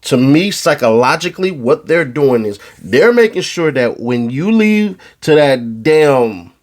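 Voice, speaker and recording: A man from California giving casual, animated commentary on a trial, slangy and freely swearing. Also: on the high side, unhurried. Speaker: online commentator.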